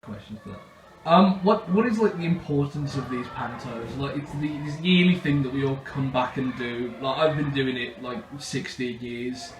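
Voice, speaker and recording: Male interviewer, mid pitch, informal and hesitant, roomy with faint noise. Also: normal speed. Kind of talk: casual, hesitant questioning. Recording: echoey room with faint noise.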